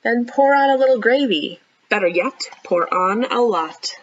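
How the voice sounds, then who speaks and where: A woman children's librarian reading a picture book aloud expressively, with animated, encouraging chatty asides to young listeners. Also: a little high, normal speed, highs cut off, a little hiss or hum. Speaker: children's librarian hosting storytime.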